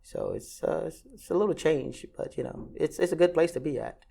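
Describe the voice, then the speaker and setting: Gravelly guy from vietnam, 30s, lots of uh and you know, restarts a lot, chatting about work. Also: fairly high pitch, fairly quick, warm tone. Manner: hesitant, many fillers and restarts. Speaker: airline ramp agent. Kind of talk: casual interview answers.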